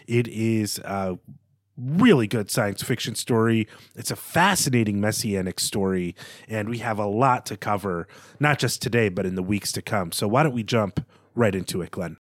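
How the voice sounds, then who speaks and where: A man's voice: lowish voice, animated, moderate pace, dry recording, studio quality. A man co-hosting a literary podcast, chatting casually and with enthusiasm for his subject. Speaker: podcast co-host.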